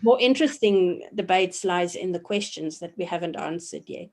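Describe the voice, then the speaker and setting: A woman in her forties from South Africa, a professor giving measured, reflective answers in an interview, often pausing with ums and restarting her sentences. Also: lowish voice. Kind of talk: thoughtful academic interview answers.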